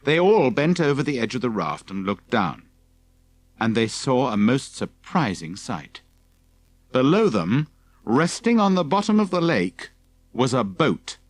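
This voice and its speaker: A man's voice: mid-range voice, very animated, a little slow, dry recording. A man reading scripted narration from a children's adventure story as an audiobook narrator.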